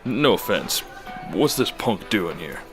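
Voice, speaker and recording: A man's voice: deep voice, big pitch swings, unhurried, music in the background, heavily acted. An actor playing a surly, dim and suspicious mob henchman in a radio drama.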